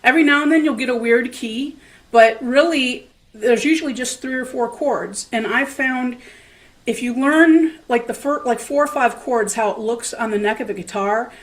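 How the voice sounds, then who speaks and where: A woman's voice: a little high, moderate pace, poor audio. A woman who plays the dulcimer, chatting casually about a local jam, with lots of fillers and a self-deprecating humor. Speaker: dulcimer player. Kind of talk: casual chat. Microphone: home setup, playing in her room.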